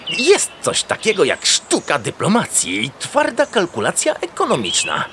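A man voicing a slow-witted, wary farmyard dog in a children's audio drama, with a hesitant, grumbling delivery. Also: high voice, huge pitch swings, background noise. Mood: wary, bargaining.

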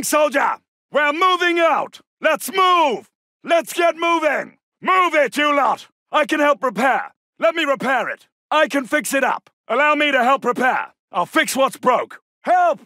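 An actor playing a brisk, gallant British Second World War soldier in a video game, with a clipped, commanding and formal manner. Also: male voice, extremely high pitch, pushed and bright, no echo, clean studio sound, heavily acted. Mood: urgent, commanding, then urgent, pleading. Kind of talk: military game battle barks.

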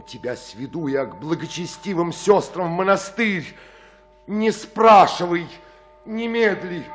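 An actor playing a pious, fearful monk in a radio tragedy, theatrical and full of horror and urgency. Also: male voice, on the high side. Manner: theatrical, exclamatory. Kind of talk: radio-play tragic verse acting.

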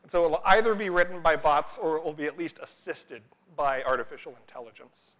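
A man's voice: on the high side, fairly quick, fairly bright tone, dull audio. A man, giving a humorous conference talk on a large stage, self-deprecating and joking, with frequent "uh" fillers. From United States. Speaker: conference keynote speaker.

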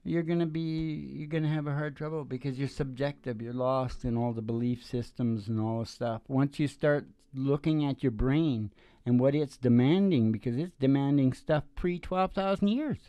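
A middle-aged man chatting casually as a show host, rambling in a folksy, halting, philosophising way into a stage microphone. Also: mid-range voice, warm tone, clear, resonant tone, no room sound. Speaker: host and singer of a father-daughter music podcast. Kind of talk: casual rambling banter between songs. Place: small dim curtained stage, indoors. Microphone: stage microphone at the mouth. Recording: dull lo-fi live recording with guitar. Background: acoustic guitar music.

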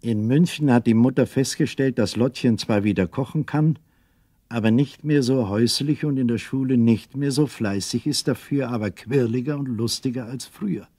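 A man's voice: mid-range voice, moderate pace, mellow, slightly rough, dry recording. A man narrating a scripted children's radio play as a storyteller.

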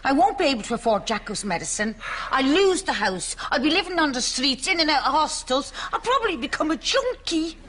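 A woman's voice: high-pitched, sing-song, brisk, a bit bright, slight background noise. A woman playing a gullible, put-upon older Irish housewife in a sitcom, earnest and plaintive. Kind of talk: broad studio sitcom acting.

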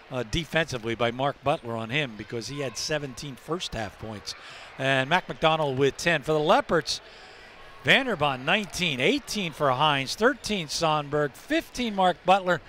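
An older man anchors a postgame basketball wrap from courtside. His voice is a little high, delivered in sports broadcast style into a headset. Faint arena noise sits behind him. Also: a bit bright. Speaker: play-by-play announcer and host.

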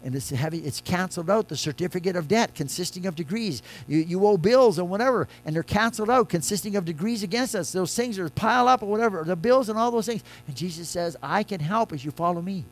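An older man, a pastor, preaching a conversational sermon from a church pulpit, moving between loud emphatic lines and quiet soft ones. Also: high-pitched, animated, fast, hardly any pauses.

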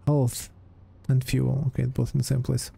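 A man's voice: medium pitch, monotone, normal speed, creaky, dark tone, a little hiss or hum. A man giving casual gameplay commentary, hesitantly muttering his thoughts aloud as he plays. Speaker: gamer, let's play host.

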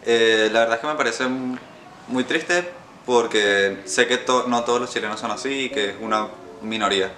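A seventeen-year-old man from Venezuela, a student, giving short and halting answers. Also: mid-range voice, a little hiss or hum. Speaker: high school student. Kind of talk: brief interview answers. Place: room with bookshelves. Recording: roomy sound with loud guitar music.

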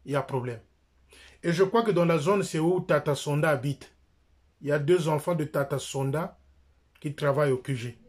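A man's voice: a little high, moderate pace, pauses often, darkish tone. Insistent middle-aged Congolese man. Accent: Congolese French. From Republic of the Congo. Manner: insistent, repeats phrases, jabs with his finger. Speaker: church member and critic. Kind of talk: direct-to-camera denunciation. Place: small indoor room. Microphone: phone camera close up.

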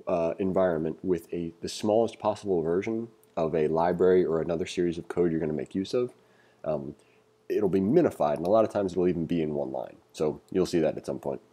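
A man's voice: low-pitched, warm tone, husky. A man giving an informal read-along coding tutorial, thinking aloud in a casual manner as an instructor.

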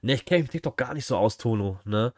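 A man's voice: medium pitch, lively intonation, fast, no room sound, studio quality. A young man chatting casually and informally as a live streamer reacting from a room at home, with lots of slang. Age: young adult. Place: small indoor room at home.

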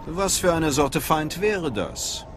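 A man's voice: a little high, sing-song, slow, slight background noise. A man playing a cool, confident Sith inquisitor in a German game dub, terse and self-assured. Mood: wary, confident.

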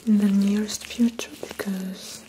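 A young woman speaks very softly and breathily, almost whispering, in an ASMR role play as a skin doctor. Her delivery is slow and even, with little movement in pitch. She is close to the mic, with clear background noise. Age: young adult. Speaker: ASMR performer playing a dermatologist. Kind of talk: soft-spoken ASMR medical role play. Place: small indoor room set up as an office.

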